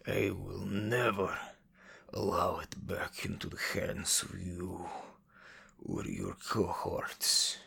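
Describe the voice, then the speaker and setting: A broken, half-crazed old captive for a fantasy audio drama. His low voice is weak and full of creak, dragging out each halting, bitter word very slowly. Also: animated, bright, forceful tone, heavily acted. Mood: defiant. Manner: halting, drawn-out, defiant. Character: gaunt, half-crazed older male prisoner, bitter and broken.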